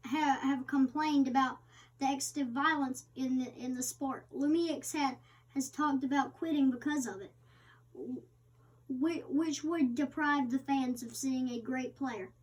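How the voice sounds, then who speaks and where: A woman reading the interviewer's part of a magazine interview aloud, somewhat haltingly with stumbles. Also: high-pitched, fairly even intonation. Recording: distant microphone.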